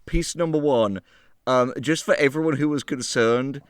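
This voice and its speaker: A man's voice: medium pitch, normal speed, smooth, no echo, studio quality. A man co-hosting a casual comedy podcast, joking and often laughing as he talks. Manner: jokey, laughs while talking. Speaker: co-host.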